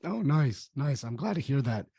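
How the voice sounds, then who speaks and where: A man hosting a podcast interview, his voice hoarse, speaking in a friendly, easygoing way. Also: medium pitch, very animated, normal speed, warm tone, no echo, clean studio sound. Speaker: podcast host.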